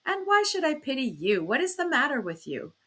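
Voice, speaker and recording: A woman's voice: sits high, wildly swooping pitch, brisk, a bit bright, pitched above the performer's own voice, heavily acted. A woman voicing an anguished but firm young wife and mother in an audiobook, pleading and emphatic. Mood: reproachful.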